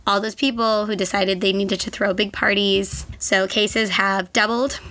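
A young woman in her twenties, hoarse from a cold, chatting and joking about herself as a podcast co-host. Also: mid-range voice, steady tone, a little slow, bright, forceful tone. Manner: chatty, joking, self-mocking. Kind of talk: casual chat.

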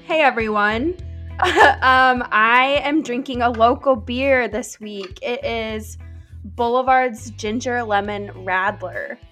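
A woman chats informally as a podcast co-host. Her voice is on the high side, with some music playing faintly underneath. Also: slow pace, a bit bright. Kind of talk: casual podcast chat.

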